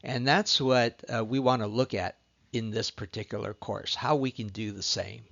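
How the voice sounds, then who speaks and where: A middle-aged man from Southern California, a course instructor introducing himself in a recorded lecture, measured and earnest with frequent pauses. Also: fairly low pitch, fairly even intonation, vocal fry, fairly bright tone, muffled recording.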